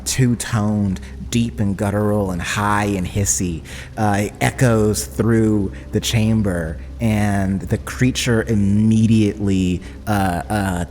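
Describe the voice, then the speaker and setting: A man narrating a tabletop game as game master, in halting, suspenseful descriptions. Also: on the low side, darkish tone, slight background noise. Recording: long hall reverb, background music. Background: music.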